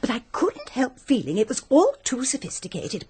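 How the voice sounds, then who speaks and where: Gushing English spinster mystic, high and swooping, self-important, radio drama. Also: female voice, huge pitch swings, normal speed, darkish tone, slightly rough, full character voice. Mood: airy, self-important.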